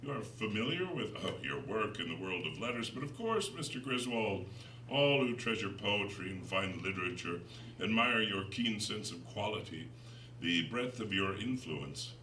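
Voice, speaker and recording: Soft-spoken sinister gentleman, acted by a man, roomy recording. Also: lowish voice, lively intonation, moderate pace. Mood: fawning. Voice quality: soft yet clear. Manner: unctuous, oversweet, turning chilly. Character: soft-spoken, sinister gentleman, falsely humble, coldly flattering. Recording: roomy, distant, noisy recording.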